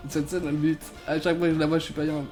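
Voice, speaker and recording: A young man giving a comedy reaction commentary, excitable and laughing, full of street slang. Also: on the high side. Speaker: YouTuber.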